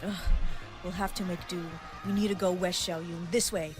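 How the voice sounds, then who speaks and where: A woman playing a bold, brisk young warrior in a fan audio drama. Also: fairly low pitch, fairly even intonation, lightly acted. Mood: urging. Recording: music under the voice.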